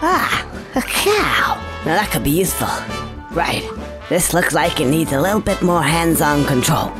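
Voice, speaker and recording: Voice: medium pitch, very animated, normal speed, lightly acted. A performer voicing an easygoing cartoon squirrel hero in a video game, wry and hesitant, muttering asides to himself over music. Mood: wry, puzzled. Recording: music underneath.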